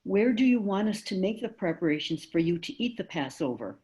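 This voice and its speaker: A woman's voice: medium pitch, lively intonation, fairly quick, warm tone, breathy edge. A woman reading the parts of questioning followers in a dramatic scripture reading over a video call. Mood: inquiring. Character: questioning followers and plotters in the Passion story. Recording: home video-call recording.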